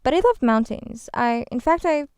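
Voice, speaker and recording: A woman's voice: high-pitched, lively intonation, normal speed, a little mellow, smooth, no echo. A woman from Ghana, a guest on a radio show, chatting in a bubbly, rambling way with frequent restarts in a studio. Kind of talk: casual interview chat. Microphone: studio microphone.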